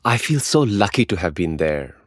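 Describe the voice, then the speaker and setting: A man's voice: sits low, sing-song, moderate pace, no echo, clean studio sound. A man co-hosting a podcast, reading conversational narration. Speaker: podcast co-host.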